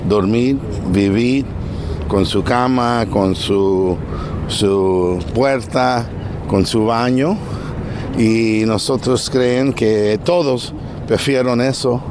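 A man's voice: medium pitch, extremely slow. A man giving interview answers with a non-native accent of an English speaker, searching for words. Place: outdoors in a park. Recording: noisy outdoor park, birds and traffic.

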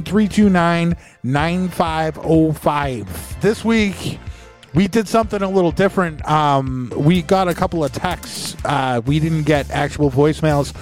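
Higher-voiced man, profane podcast co-host. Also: darkish tone. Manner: profane, joking. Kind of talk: casual chat.

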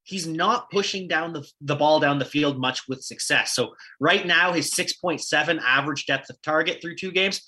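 Husky bright-voiced Canadian man. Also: fairly high pitch. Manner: stat-heavy, questioning, quick asides. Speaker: podcast co-host. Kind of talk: casual sports analysis chat.

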